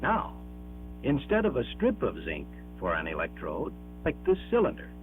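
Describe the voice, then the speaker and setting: A man reads a scripted educational film narration in a measured, emphatic, explanatory manner, on an old 1960s film soundtrack. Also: medium pitch, wildly swooping pitch, soft background music. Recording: dull band-limited 1960s 16mm film soundtrack.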